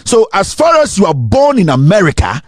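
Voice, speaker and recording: A man's voice: high voice, wildly swooping pitch, a little fast, no room sound, clean studio sound. A man with a Ghanaian accent giving an impassioned political monologue, indignant and emphatic, repeating rhetorical questions. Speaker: political commentator.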